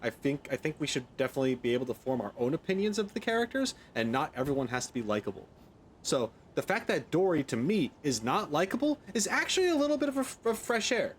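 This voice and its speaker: A man's voice: on the high side, very animated, quick pace, slight background noise. A man giving casual reaction commentary as a game streamer, opinionated, informal and jokey.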